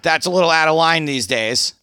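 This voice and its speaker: A man's voice: fairly high pitch, a little fast, bright, forceful tone, smooth, no echo, studio quality. A man hosting an interview, asking loose questions that often stumble and restart. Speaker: podcast host. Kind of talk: casual interview.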